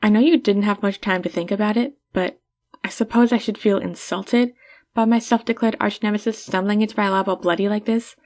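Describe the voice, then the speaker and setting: A woman's voice: mid-range voice, fairly even intonation, fairly quick, darkish tone, natural voice. A woman playing a haughty supervillain in an ASMR roleplay, condescending and teasing toward the listener but secretly caring.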